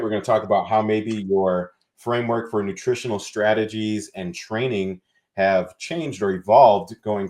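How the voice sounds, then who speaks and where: A man's voice: fairly low pitch, darkish tone. A man hosting a fitness podcast, talking in an upbeat, conversational way with plenty of fillers. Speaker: podcast host. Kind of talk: podcast interview.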